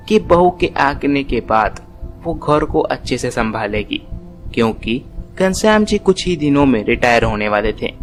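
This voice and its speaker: A man narrating a scripted family moral story as a storyteller. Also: medium pitch.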